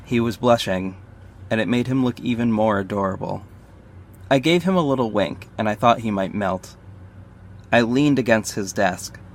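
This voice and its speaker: A man's voice: lowish voice, moderate pace, lightly acted. A man playing a confident, flirty detective and secret vigilante, narrating an audio drama in the first person, conversational and confiding. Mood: charming, flirty. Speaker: narrator and lead character. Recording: faint background noise.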